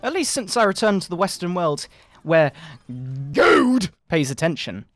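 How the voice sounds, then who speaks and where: A man voicing a pompous, sanctimonious fundamentalist preacher parent in a mock-dramatic sermon, with theatrical, drawn-out emphasis. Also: sits high, very animated, a bit bright, dry recording, exaggerated voice. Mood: indignant. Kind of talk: mock-dramatic reading of a moral-panic sermon. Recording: background video game music.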